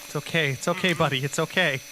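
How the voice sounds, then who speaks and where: A man's voice: on the high side, fast talker, noisy background, natural voice. A man voicing an anxious, protective caretaker in a tabletop game, soothing and flustered, repeating himself. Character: anxious, coaxing, protective adult male caretaker. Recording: music behind the voice.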